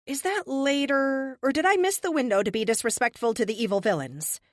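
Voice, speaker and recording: A woman voicing a sassy, flippant, theatrically bold American heroine in an audiobook, sarcastic and animated. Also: sits high, brisk, no echo, studio quality, pitched above the performer's own voice, lightly acted. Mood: mocking.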